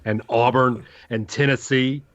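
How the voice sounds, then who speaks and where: A man's voice: medium pitch, fairly even intonation, unhurried. A man hosting a sports podcast with casual banter, moving the conversation along. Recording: dull lo-fi podcast audio.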